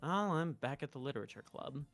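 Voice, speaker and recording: A streamer reading the lines of a male high-school student, earnest and a bit flustered. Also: animated, fairly quick, vocal fry, a bit bright, soft background music. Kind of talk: reading a visual novel aloud.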